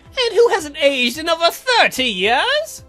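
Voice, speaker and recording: A man's voice: very high voice, very animated, measured pace, pushed and bright, faint music behind, pitched above the performer's own voice, exaggerated voice. A man voicing a prying, accusing interrogator from a visual novel, pointed and insinuating.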